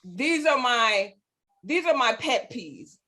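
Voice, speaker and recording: A woman's voice: fairly high pitch, very animated, takes it slowly, bright, forceful tone. A woman hosting a casual panel chat, interjecting to steer and moderate the talk. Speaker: podcast host.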